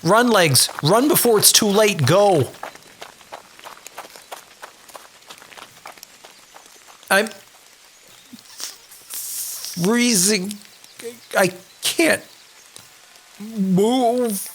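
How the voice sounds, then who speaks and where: Desperate, slow-talking male survivor acted by a man. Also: high voice, fairly bright tone, slight background noise, heavily acted. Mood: terrified. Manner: anguished, exasperated, muttering to himself. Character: desperate, foul-mouthed male wasteland survivor trapped in a loop. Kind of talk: audio drama acting.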